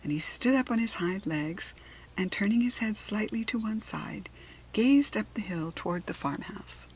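A woman with an American accent reading children's fiction aloud as an expressive narrator, enunciating carefully. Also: medium pitch, moderate pace, rough recording. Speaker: storybook reader.

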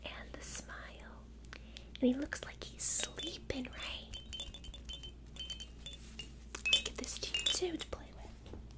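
A young woman in an ASMR roleplay as a childcare worker, talking gently and simply to a small child with lots of questions. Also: high-pitched, takes it slowly, long pauses. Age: young adult. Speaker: ASMR creator playing a preschool childcare worker.

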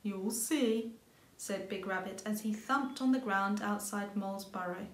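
A woman's voice: mid-range voice, normal speed. A woman in her thirties reading a children's story aloud expressively, indoors at a short distance from the camera. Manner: expressive reading with character lines. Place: indoor room with bookshelves. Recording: roomy home recording.

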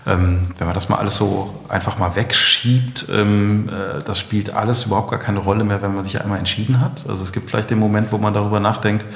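Middle-aged man, low creaky voice, reflective interview talk. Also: steady tone, brisk, hardly any pauses, a bit bright. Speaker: interview guest, company founder. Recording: echoey, reverberant room.

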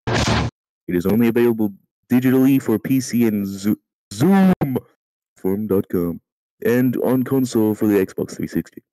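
A man reads an article aloud in a mellow, relaxed voice with a dry, close sound. He trips over words, repeats himself and swears casually as he goes. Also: on the low side, a little slow, dry recording, soft background music, poor audio. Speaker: amateur reader in a group voice call. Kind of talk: reading an encyclopedia article aloud.

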